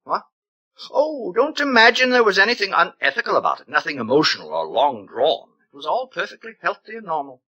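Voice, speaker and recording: A man's voice: high voice, very animated, pushed and bright, husky, highs cut off, full character voice. A man playing a pompous, stern senior official in a 1950s radio drama. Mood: indignant, stern. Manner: pompous, halting reminiscence turning to clipped reprimand.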